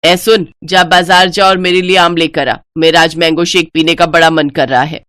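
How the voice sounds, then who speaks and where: A woman's voice: on the low side, steady tone, normal speed, a bit bright, no echo, lightly acted. Cruel, bossy mother-in-law for a moral story, played by a woman narrator, harsh and taunting, dry close recording.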